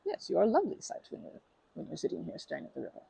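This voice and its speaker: A woman in an ASMR roleplay, chatting to the listener as a friendly stranger with a husky, gravelly voice. Her pitch swoops up and down as she rambles, stops and restarts her sentences. The sound is dry and close, with a mellow tone. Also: huge pitch swings, rapid-fire, dry recording. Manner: rambling and hesitant, often restarting and correcting herself; friendly and earnest, with light laughs.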